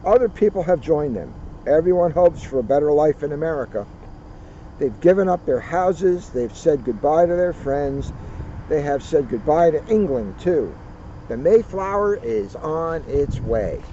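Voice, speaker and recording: Guy reading a kids book outside, slightly high mellow voice, bit muffled w faint car noise. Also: lively intonation, a little slow. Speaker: police officer reading a children's book. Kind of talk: read-aloud storytelling with casual asides. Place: outdoors at a turkey farm. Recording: muffled outdoor recording, faint vehicle noise.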